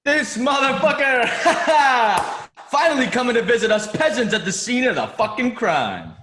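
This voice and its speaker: A man's voice: very high-pitched, a little slow, heavily acted. A man reading a crude, boisterous young line cook in a table read of a TV pilot. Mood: rowdy excited. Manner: crude, excitable, laughs often. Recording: roomy echo.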